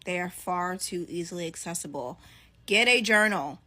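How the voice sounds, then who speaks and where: Sarcastic bright-voiced woman commentator. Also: fairly low pitch, lively intonation, moderate pace. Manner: sarcastic, mocking. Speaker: online commentator. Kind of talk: reaction video commentary.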